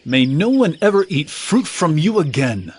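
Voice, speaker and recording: An actor playing Jesus as a calm, authoritative teacher in a dramatized scripture reading, measured and solemn. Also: male voice, fairly high pitch, husky.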